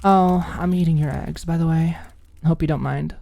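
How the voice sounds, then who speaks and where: Woman voicing a cool, teasing, dark-toned young roommate. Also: low-pitched, steady tone, normal speed, vocal fry, dry recording. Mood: teasing nonchalance. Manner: breezy, sardonic, feigned indifference.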